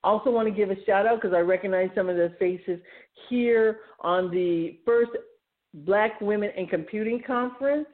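A woman's voice: mid-range voice, normal speed. Woman radio host on a phone-like line. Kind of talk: informal solo talk, announcing articles and events. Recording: thin, phone-like narrow-band sound.

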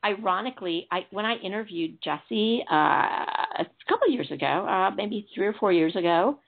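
A woman's voice: mid-range voice, expressive, normal speed, vocal fry. A woman from Chicago hosting a call-in chat show, telling stories in a chatty, digressive way. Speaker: show host. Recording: phone-like narrow sound.

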